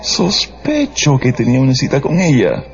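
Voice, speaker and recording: Sly, lighter-voiced gossiping dandy. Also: male voice, medium pitch, huge pitch swings, moderate pace, vocal fry, highs cut off, soft background music. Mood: gossipy. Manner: insinuating, gossipy. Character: gossiping male dandy, sly confidant of a courtesan. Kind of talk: radio drama acting.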